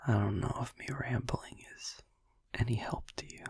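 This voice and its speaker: A man playing a sleepy, gentle, reassuring vampire boyfriend in a whispered sleep-aid audio roleplay, rambling in a hesitant, breathy, husky murmur. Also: medium pitch, steady tone, no room sound, clean studio sound. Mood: drowsy, rambling. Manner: rambling, hesitant, halting, drowsy.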